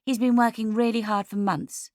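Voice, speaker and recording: A woman's voice: on the high side, fairly even intonation, moderate pace, darkish tone, dry recording, studio quality, pitched above the performer's own voice, natural voice. Woman doing a fierce, defensive daughter, heated. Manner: heated, clipped, insistent. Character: fierce, defensive, loyal adult daughter, hot-tempered.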